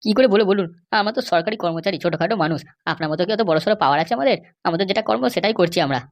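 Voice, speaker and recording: A woman's voice: on the low side, lively intonation, very fast. Woman as a sly, rattling cartoon lackey. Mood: mocking, wheedling. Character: sly male cartoon schemer and bribe-seeking jail constable. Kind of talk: amateur cartoon comedy dubbing.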